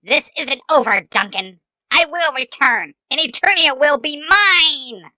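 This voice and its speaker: A man's voice: extremely high pitch, animated, a little slow, bright, forceful tone, no echo, voice pushed up, exaggerated voice. A man voicing a malevolent skull-faced villain sorcerer in a theatrical, menacing way for a story reading. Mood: vengeful threat.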